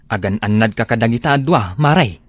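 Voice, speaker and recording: A man's voice: mid-range voice, animated, brisk, natural voice. An actor playing a friendly neighbor and godfather who gives a warning in a radio drama.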